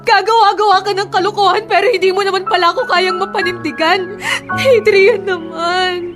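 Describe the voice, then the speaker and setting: A very high-pitched young mistress in a radio love drama. She is heartbroken and clinging, pleading emotionally over a music bed. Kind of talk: Filipino radio love-story drama acting.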